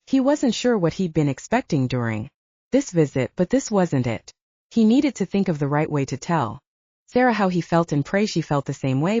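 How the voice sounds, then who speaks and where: Expressive woman narrating fiction, mellow voice. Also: fairly low pitch, dry recording, muffled recording. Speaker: audiobook narrator.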